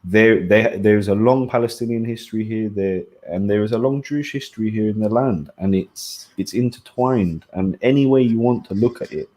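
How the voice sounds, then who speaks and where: A young man speaking earnestly and at a measured pace in a casual podcast discussion over a video call. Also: on the low side, mellow. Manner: measured, earnest, repeats words. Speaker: podcast panelist.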